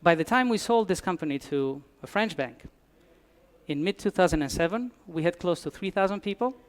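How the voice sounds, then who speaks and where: A man's voice: high-pitched, expressive, a little fast. A man from Albania, giving a conference talk with dry humor and frequent restarts. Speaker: conference speaker. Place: conference hall.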